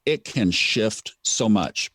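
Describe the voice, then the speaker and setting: A man's voice: lowish voice, lively intonation, slow pace, fairly bright tone, no room sound, clean studio sound. A man hosting a podcast, talking in a reflective, storytelling way and repeating phrases for emphasis. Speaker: podcast host. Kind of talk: casual conversational chat.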